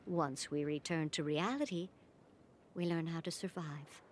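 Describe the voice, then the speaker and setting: A woman voicing a kind old woman who looks after orphaned children, speaking gently and reassuringly. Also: low voice, fairly even intonation, measured pace, slight background noise. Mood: gentle. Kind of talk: game voice acting.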